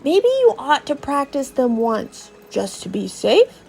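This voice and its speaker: A woman's voice: high voice, wildly swooping pitch, slow pace, vocal fry, a little mellow, exaggerated voice. A woman voicing a fussy elderly male professor in a video game, with hesitant, old-fashioned, teasing phrasing. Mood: cautious, needling.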